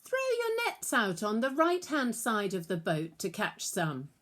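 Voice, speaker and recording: Storybook part: kindly, encouraging male teacher played by a female reader, slow, deliberate, gentle instruction. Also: mid-range voice, huge pitch swings, heavily acted.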